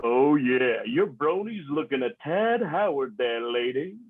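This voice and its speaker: A man's voice: mid-range voice, animated, a little slow, breathy edge. A man playing a swaggering, laid-back alien frog outlaw in a comedy script read, cocky and slangy, over a muffled, narrow connection. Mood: breezy, cocky. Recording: muffled, phone-like narrow sound.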